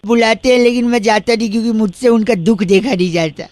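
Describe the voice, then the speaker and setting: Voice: mid-range voice, steady tone, fast talker, a little mellow, no room sound. A radio comedy host making prank phone calls, telling rambling mock-earnest stories with great persistence. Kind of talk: comic prank phone call patter.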